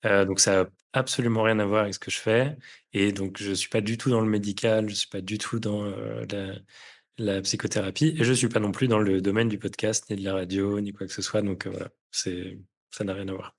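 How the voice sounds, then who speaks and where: Male podcast host, low voice, casual delivery, hesitant phrasing with frequent searching for words. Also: fairly even intonation, brisk. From France (Nantes). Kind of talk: casual conversational podcast talk.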